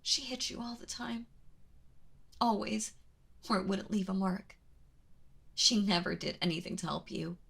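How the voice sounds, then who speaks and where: A woman playing a kind but obsessive, protective yandere in an intimate ASMR roleplay, speaking haltingly and often dropping toward a whisper. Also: medium pitch, fairly bright tone, exaggerated voice. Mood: indignant, pained. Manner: hesitant, halting, breathy with drops into whispering.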